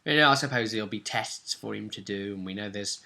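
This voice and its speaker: A man's voice: fairly low pitch, brisk, gravelly, pushed and bright, a little hiss or hum. A man casually recapping a tabletop role-play session as game master, rambling along and often seeking agreement with tag questions.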